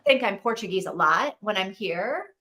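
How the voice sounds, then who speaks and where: A woman's voice: medium pitch, animated, normal speed, bright, forceful tone, a little hiss or hum. Woman co-host, chatty and casual, video-call sound. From dad Saudi, mom German. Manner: chatty, lots of "like" and "right?". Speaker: book club co-host. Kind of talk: casual livestream chat.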